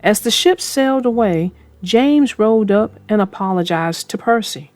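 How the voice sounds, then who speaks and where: A woman reading a children's storybook aloud in a slow, expressive way, then chatting casually about it. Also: mid-range voice, dry recording, soft background music. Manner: slow, expressive read-aloud with character voices. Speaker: storybook reader and co-host.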